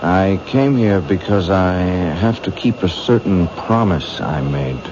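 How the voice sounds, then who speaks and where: An actor playing a menacing young male serial killer in a 1970s radio drama, talking in a halting, stammering way. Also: low voice, expressive. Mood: sinister, halting. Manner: hesitant, stammering, then snappish. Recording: dull old radio broadcast with hiss.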